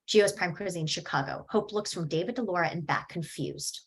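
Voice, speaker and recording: A woman reading the stage directions at a screenplay table read in a steady, measured way. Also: on the low side.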